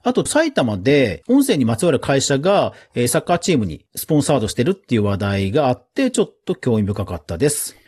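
A man's voice: mid-range voice, sing-song, fast, few pauses, darkish tone, husky. A man hosting a podcast news round-up in a casual, chatty way, with informal asides and little interjections of agreement. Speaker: podcast host.

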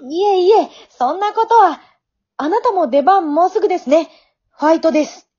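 Woman acting young female contestant, very high pitch, courteous, frank, amateur radio drama, muffled lo-fi sound. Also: moderate pace, a little mellow. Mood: polite, cheerful. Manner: polite, matter-of-fact remarks. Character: polite, frank young woman contestant, calmly puzzled and observant.

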